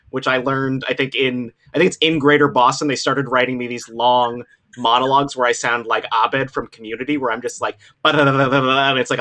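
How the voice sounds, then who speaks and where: A man's voice: medium pitch, pushed and bright. A man who works as a voice actor, chatting casually in a wordy, informal way. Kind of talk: casual chat.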